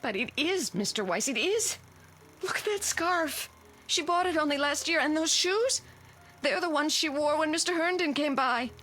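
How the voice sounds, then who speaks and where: An actress playing a polite, uneasy housemaid in an old television drama, deferential and fearful. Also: female voice, high voice, lively intonation, moderate pace, pushed and bright. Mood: dread. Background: faint music.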